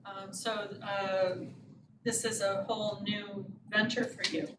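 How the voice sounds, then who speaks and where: A woman's voice: medium pitch, little pitch movement, slow pace. Lady running a town meeting, polite lots of ums, echoey room far from the mic, noisy. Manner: polite, hesitant, many ums. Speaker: commission chair. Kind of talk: meeting chairing.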